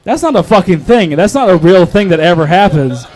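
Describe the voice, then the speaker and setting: A man's voice: high voice, animated, fairly quick, darkish tone. A man performing stand-up at an open mic, telling stories in a crude, profane, self-deprecating manner. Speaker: stand-up comedian. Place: live open mic comedy show.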